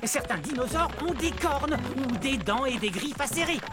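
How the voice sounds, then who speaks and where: A high, animated little dinosaur, cheerful and chatty, talking straight to the kids watching a cartoon. The pitch swings freely, with music playing underneath. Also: female voice, normal speed. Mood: chatty, proud. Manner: lively, addresses viewers directly. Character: small, speedy, plant-eating dryosaurus, cheerful and chatty. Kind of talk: animated children's cartoon narration to viewers.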